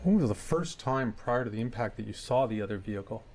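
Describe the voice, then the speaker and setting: A man acts as a businesslike lawyer in a legal dramatization. He fires off formal questions at a quick clip, methodical and matter-of-fact. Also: medium pitch, darkish tone, close to an everyday voice. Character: brisk, methodical opposing lawyer questioning a witness. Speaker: examining lawyer in a dramatized discovery.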